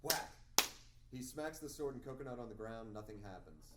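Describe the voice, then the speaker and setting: A man's voice: mid-range voice, lively intonation, moderate pace. A man narrating the action lines of a screenplay at a table read. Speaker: stage direction reader. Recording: echoey room, distant mic, faint noise.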